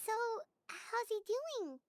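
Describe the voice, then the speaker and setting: A woman's voice: very high voice, big pitch swings, takes it slowly, full character voice. A woman voicing a tiny, curious, eager childlike fairy companion in a video game. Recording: game music behind the voice.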